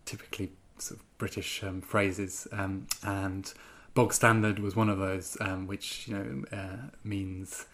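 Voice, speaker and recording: Hesitant low-voiced man. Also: level tone, a little slow, few pauses, a bit bright. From Germany. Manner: hesitant, many ums and restarts when speaking freely. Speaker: guest writer. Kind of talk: interview answers and reading from his own piece.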